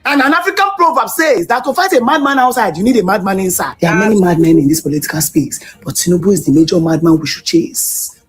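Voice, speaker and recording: A woman's voice: mid-range voice, expressive, fast. A Nigerian woman hosting a political commentary vlog, talking bluntly and slipping Pidgin into her English. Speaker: host.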